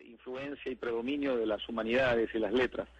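A man with a Lima Spanish accent and a husky, gravelly voice, answering interview questions in a measured way with "eh" fillers. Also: medium pitch, fairly even intonation, dull audio. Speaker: interviewed writer.

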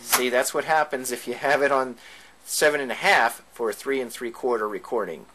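A man's voice: medium pitch, moderate pace. A man giving an informal hands-on equipment tutorial, explaining each step as he goes. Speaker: demonstrator of vintage tape equipment. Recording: background noise on home video.

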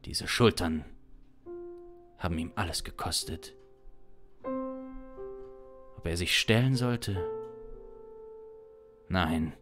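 A man in his thirties improvising a dark crime narration in a dramatic, intense manner, close on a studio mic. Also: low voice. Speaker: improvising audio drama performer. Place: small home studio, indoors. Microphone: close studio condenser mic. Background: instrumental music.

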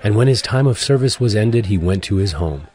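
Deep-voiced male scripture narrator. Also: expressive, dark tone, a faint crowd. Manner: measured, solemn storytelling.

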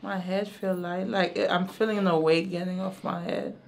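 A woman's voice: lowish voice, steady tone, normal speed, creaky, slight background noise. A woman chatting casually and colloquially as a patient, often exclaiming in amazement. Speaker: chiropractic patient. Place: indoor treatment room.

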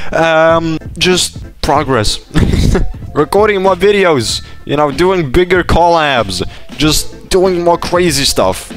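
Higher-pitched young man, Lithuanian-British accent. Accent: Lithuanian with British influence. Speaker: gaming YouTuber. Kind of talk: casual Q&A commentary over gameplay. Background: background music.

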